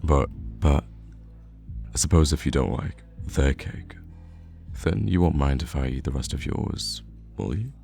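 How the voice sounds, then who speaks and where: A man acts out a teasing, easygoing young male friend in an intimate roleplay. His voice is extremely deep with plenty of creak, and he banters in an animated, casual way. Faint music plays behind him. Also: measured pace, exaggerated voice. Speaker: ASMR roleplay voice actor. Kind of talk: conversational ASMR roleplay acting.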